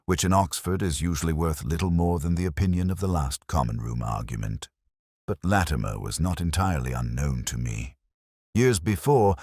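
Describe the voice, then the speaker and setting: Very deep, husky male storyteller. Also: a little mellow, no room sound, studio quality. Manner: measured, brooding, formal. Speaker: narrator. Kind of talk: literary first-person detective story narration.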